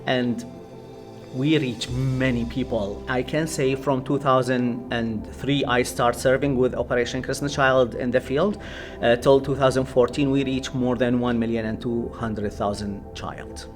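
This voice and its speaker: A man with an Iraqi accent gives his testimony as a pastor over music. His voice sits at a middle pitch, and he speaks haltingly, often searching for the next word. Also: steady tone. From Iraq. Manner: halting, hesitant, searching for words. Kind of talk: personal testimony in an interview.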